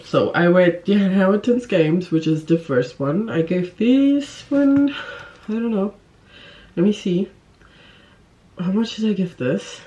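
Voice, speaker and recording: A woman's voice: mid-range voice, a little slow, long pauses, dark tone, dull audio. A woman talks casually to camera as a book vlogger. Her delivery is informal and effusive, with a giggly manner that keeps it conversational.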